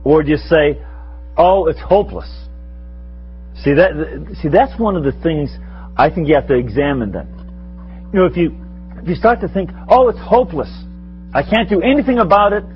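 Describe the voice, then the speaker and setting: A man in his sixties leads an informal spiritual talk before a room of listeners. His pitch rises and falls in a lively, animated way, and he rambles with self-deprecating chuckles. The recording is slightly dull, with faint music underneath. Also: moderate pace, long pauses. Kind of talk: informal spiritual teaching with audience questions. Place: meeting room with a live audience.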